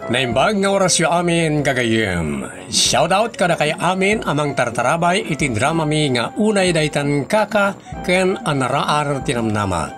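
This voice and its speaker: A man's voice: fairly high pitch, big pitch swings, normal speed, over music. A man with a northern Philippine accent, announcing a scripted radio drama program.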